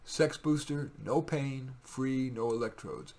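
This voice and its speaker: Guy reading his own poems, medium pitch, dramatic on the verses, casual in between. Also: takes it slowly, a little mellow. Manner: emphatic, theatrical when reading verse. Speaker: poet reading own work. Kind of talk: poetry reading with casual asides.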